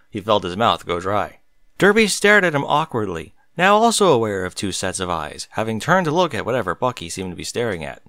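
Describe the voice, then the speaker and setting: A man reading a story aloud as narrator, in an expressive scripted narration style. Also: medium pitch, no echo.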